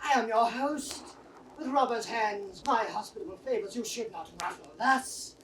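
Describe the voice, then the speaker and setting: A man's voice: extremely high pitch, moderate pace, background noise. An older man playing an anguished, defiant captive nobleman in a declamatory 1960s Shakespearean audio drama. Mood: indignant, pleading. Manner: declamatory, impassioned. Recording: distant 1960s drama recording.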